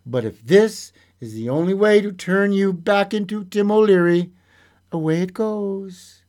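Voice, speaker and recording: A man voicing an honest male farmer in a told story, speaking solemnly and deliberately. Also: high voice, darkish tone, voice pushed up.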